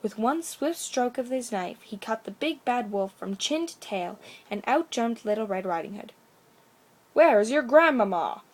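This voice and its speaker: A woman with a fairly high voice reads a children's story aloud. She narrates expressively, in the manner of a bedtime storyteller. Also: lively intonation, moderate pace, few pauses, darkish tone.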